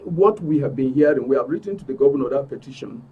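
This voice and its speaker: A man with a Nigerian accent and a husky voice giving an emphatic account as an interview guest, repeating phrases for stress. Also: mid-range voice, lively intonation, fast, warm tone, distant mic, muffled recording. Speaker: interview guest, club member. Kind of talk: spoken account in a broadcast interview.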